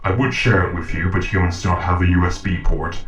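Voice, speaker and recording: Guy doing a earnest robot captor for audio roleplay, really deep, polite n precise, sounds distant and echoey. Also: level tone, dull audio, close to an everyday voice. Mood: earnest, insistent. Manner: formal, measured, polite, precise. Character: calm, earnest, logical young sentient robot captor. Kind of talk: scripted audio roleplay drama.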